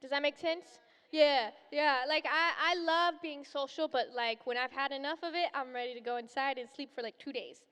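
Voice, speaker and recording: Young woman, high voice, bubbly, giggly, echoing convention hall. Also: fairly even intonation, normal speed, a bit bright. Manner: bubbly, giggly, talks over her twin. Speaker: guest creator. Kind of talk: casual chat on stage. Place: convention stage, large hall. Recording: long hall reverb.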